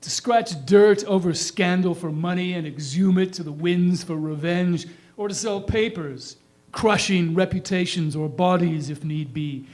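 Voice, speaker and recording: A man as a high-voiced, bitter dead editor. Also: darkish tone. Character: dead, bitter, shamed male small-town newspaper editor confessing. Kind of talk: theatrical dramatic monologue of free verse.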